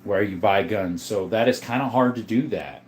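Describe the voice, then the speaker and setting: A man hosting a casual livestream from a room full of guns, reading viewer comments aloud in a relaxed, joking way. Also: on the low side, normal speed, a little hiss or hum. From Arizona. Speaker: livestream host reading chat. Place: indoors, room with guns on display.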